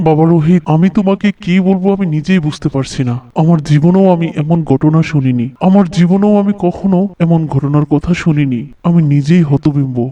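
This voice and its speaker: An actor playing a solemn, fatherly village imam who counsels in a measured way, repeating himself for emphasis. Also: fairly high pitch, mellow, recorded from a distance, muffled recording, natural voice.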